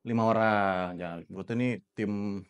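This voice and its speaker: Pria pembawa acara ramah dan bersemangat. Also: male voice, on the low side, steady tone, normal speed, smooth, dull audio. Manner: chatty, eager, frequent fillers. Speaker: host. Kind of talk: casual office tour and chat.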